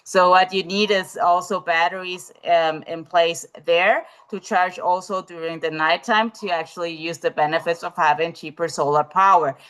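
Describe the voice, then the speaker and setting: Low-voiced woman presenting on a video call. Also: steady tone, moderate pace, hardly any pauses, a bit bright, clean tone, low-quality recording. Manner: hesitant, many fillers and restarts. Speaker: webinar presenter. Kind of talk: live webinar answer.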